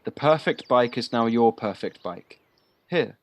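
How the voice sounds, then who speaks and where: A man's voice: medium pitch, animated, measured pace. A man acting a frank, earnest boyish village lad in an audio play, recorded at home. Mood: earnest, chatty. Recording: home recording on personal device, faint noise.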